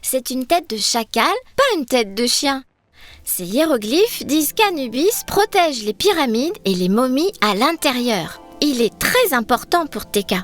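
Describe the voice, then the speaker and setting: A woman's voice: high-pitched, big pitch swings, measured pace, faint music behind. A woman voicing a cheerful, curious young girl in a children's cartoon, with enthusiastic, wide-eyed delivery. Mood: explaining, friendly.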